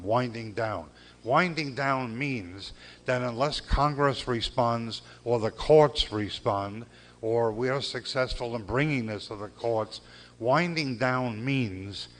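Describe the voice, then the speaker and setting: An older man giving a speech at a gala, earnest and measured, with pauses and repeated phrases. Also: mid-range voice. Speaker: civil rights lawyer giving a gala speech. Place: banquet hall.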